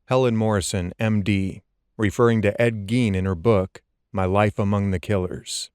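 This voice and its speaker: A man's voice: lowish voice, a little mellow, dry recording. Male thriller narrator, close dry sound. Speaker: audiobook narrator. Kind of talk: dramatic scripted fiction narration.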